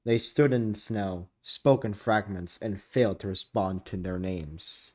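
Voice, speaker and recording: A man's voice: fairly low pitch, unhurried, darkish tone. A man hosting a reaction video, reading captions aloud and adding casual commentary.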